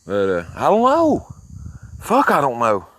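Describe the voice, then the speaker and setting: A man's voice: medium pitch, wildly swooping pitch, unhurried, fairly bright tone. A man with a Southern Alabama accent rambling casually in a boastful, folksy, foul-mouthed way as he talks outdoors. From southern United States. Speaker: CB radio hobbyist filming his own video. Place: outdoors near his home.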